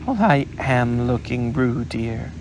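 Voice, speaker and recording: A man's voice: mid-range voice, lively intonation, unhurried, gravelly, noisy background. A man voicing a motherly female kangaroo who is cheerful, soothing and firm. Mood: severe.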